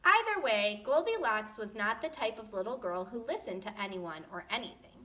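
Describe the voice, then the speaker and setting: A woman reading a storybook aloud to children in an expressive, playful, tongue-in-cheek way. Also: mid-range voice, moderate pace.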